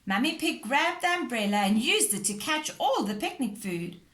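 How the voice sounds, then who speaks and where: A woman's voice: fairly high pitch, lively intonation, moderate pace, a bit bright. A woman reading a scripted children's story aloud as narrator. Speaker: storybook reader.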